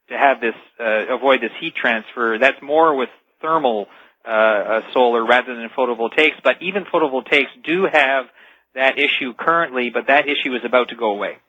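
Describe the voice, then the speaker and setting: A man speaking as an expert guest on a radio interview, explaining things in an emphatic, measured way. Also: medium pitch, no echo. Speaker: interview guest, technology author. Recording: dull, band-limited radio connection.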